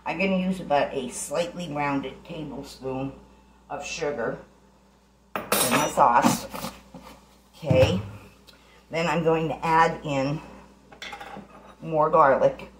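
A woman's voice: low voice, takes it slowly, pauses often, slight background noise. A middle-aged woman chatting her way through a recipe in her home kitchen, giving homey step-by-step instructions. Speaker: home cook, channel host. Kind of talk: casual cooking demonstration. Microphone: camera sitting on the counter. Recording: echoey kitchen, faint clatter of pots.

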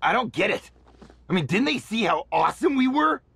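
Raspy, overexcited young geek. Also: male voice, sits high, sing-song, brisk, a bit bright, dry recording, heavily acted. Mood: baffled, boastful. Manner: dramatic, slangy enthusiasm. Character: goofy, overexcited young comic-book fan in monster suit.

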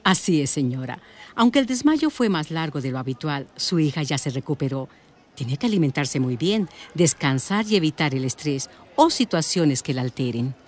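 An actress playing a matter-of-fact nurse with a Colombian accent in a radio drama. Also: female voice, low-pitched, expressive, moderate pace, darkish tone. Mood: informative. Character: matter-of-fact female health-centre nurse.

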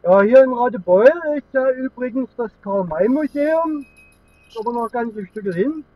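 A man's voice: very high-pitched, animated, normal speed. A middle-aged man from Germany, chatting casually and rambling along as he vlogs from the driver's seat. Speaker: vlogger. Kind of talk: casual vlog chat while driving. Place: inside a moving car with air conditioning running. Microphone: camera mounted in the car. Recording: thin phone-like sound, road noise.

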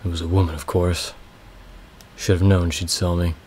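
A man voicing a talkative, raspy male slave with a scraggly beard who boasts about stealing livestock, in a low, flat read. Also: normal speed, slight background noise, lightly acted. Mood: boastful. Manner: chatty, prodding, amused.

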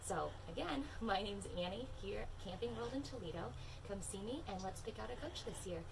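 Woman, thirties, conversational sales walk-through, medium pitch, noisy ambient recording. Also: expressive, brisk. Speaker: RV dealership salesperson presenting a video tour. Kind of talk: walk-through product presentation. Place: outdoors on RV lot, then inside small trailer. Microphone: phone camera a few steps away. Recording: distant mic, clear ambient noise.

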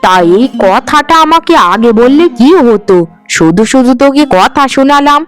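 A woman's voice: sits high, animated. A woman playing a bossy but fair household mistress in a cartoon, speaking in a commanding manner. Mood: firm, relenting. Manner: brisk, commanding. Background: faint music.

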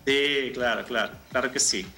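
Bright-voiced man in his thirties explaining. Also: medium pitch, normal speed, faint music behind. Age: 30s. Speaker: marketing manager, interview guest. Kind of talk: informal explanatory interview answers. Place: indoor room, on a video call. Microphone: video call.

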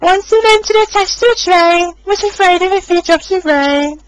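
A man voicing a cheerful, reckless young female tank engine in a read-aloud storybook, with a squealing high voice. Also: normal speed, poor audio. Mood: carefree.

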